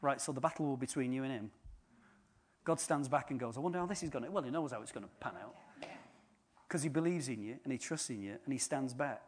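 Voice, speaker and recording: A man's voice: mid-range voice, fast talker, slightly rough. A man giving an informal sermon, chatty and joking with the congregation. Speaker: preacher.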